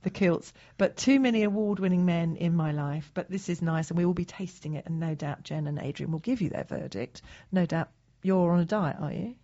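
A woman's voice: fairly low pitch, brisk, dark tone. A woman chatting casually and bantering as a radio co-host. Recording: dull, band-limited sound.

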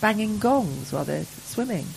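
A woman's voice: medium pitch, animated, normal speed, creaky, darkish tone, slight background noise, poor audio. A woman co-hosting a business radio show from a remote link, chatting and reading out event listings with playful asides. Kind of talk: casual radio chat.

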